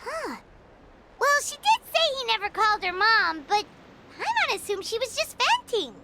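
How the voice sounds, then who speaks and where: A woman's voice: very high-pitched, very animated, normal speed, heavily acted. A woman voicing a small, childlike, curious companion sprite in a video game, musing in an inquisitive way. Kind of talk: video game character dialogue. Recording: loud background noise with clicks.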